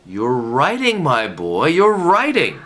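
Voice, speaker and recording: A man's voice: medium pitch, huge pitch swings, slow, a bit bright, heavily acted. An actor playing a jovial, patronizing older male official who reassures in an emphatic, avuncular way, in a comedic radio play. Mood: jovial, delighted.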